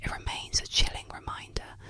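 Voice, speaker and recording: Whispering woman telling eerie bedtime legends. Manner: slow, hushed, deliberate phrasing with pauses. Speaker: ASMR host and storyteller. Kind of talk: whispered ASMR scripted narration of eerie legends. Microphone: close-up microphone.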